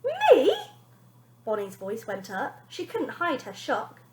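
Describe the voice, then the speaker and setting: A woman teacher reading a children's story aloud in an expressive style. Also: mid-range voice, a little slow, echoey room, very noisy.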